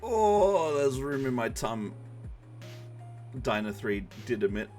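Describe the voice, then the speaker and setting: Male streamer, animated pitch, playful storybook reading and chat, faint music behind. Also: slow. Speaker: streamer hosting a group dramatic reading. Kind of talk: playful read-aloud with casual banter.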